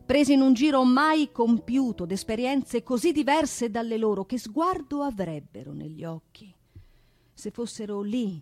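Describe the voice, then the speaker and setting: A woman giving a solemn, theatrical recitation of poetry and prose in a deliberate, dramatic manner. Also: a little high, animated, a little mellow, dry recording. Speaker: stage performer, actress and singer. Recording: piano music under the voice. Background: piano accompaniment.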